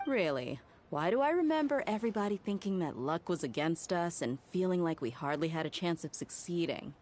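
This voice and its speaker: A woman's voice: fairly low pitch, sing-song, brisk, a little mellow, slight background noise. A woman playing a tough, outspoken, skeptical woman in a video game, blunt and challenging. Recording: game music under the voice.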